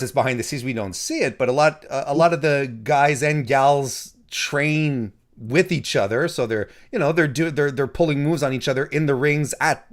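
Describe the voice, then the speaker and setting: A man hosting a wrestling interview, talking in halting restarts and cracking jokes. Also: medium pitch. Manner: halting, many restarts, joking. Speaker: interview host. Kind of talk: casual interview.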